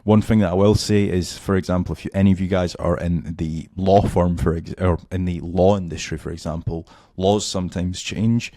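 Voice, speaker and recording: Deep-voiced man, hoarse, loose tutorial talk. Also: fairly even intonation, normal speed, hardly any pauses, dark tone, no echo, studio quality. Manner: loose, filler-heavy, keeps checking in with "right?". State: losing his voice, a bit hoarse. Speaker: SEO tutor. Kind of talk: casual screen-share tutorial. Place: at home.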